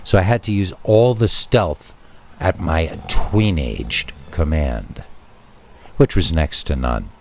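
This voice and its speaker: A man's voice: extremely low pitch, expressive, slow pace, darkish tone, a little hiss or hum. A man reading a scripted first-person scary story as a narrator.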